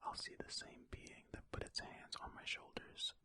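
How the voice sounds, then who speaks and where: An ASMR host whispering breathily ear to ear into a close stereo microphone, reading a story aloud in an unhurried, musing way with casual asides.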